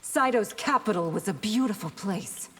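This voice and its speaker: A woman's voice: mid-range voice, lively intonation, normal speed, long reverb tail, very noisy, close to an everyday voice. A woman playing a principled princess warrior in a fighting game, earnest, firm and formal. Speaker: video game character. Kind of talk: dramatic fighting game dialogue.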